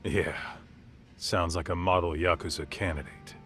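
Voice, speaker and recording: A man's voice: sits low, steady tone, moderate pace, a bit bright. A man playing a brash, loyal ex-yakuza who works as a detective's partner in an English game dub. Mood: respectful, probing. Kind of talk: video game cutscene dialogue. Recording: music behind the voice.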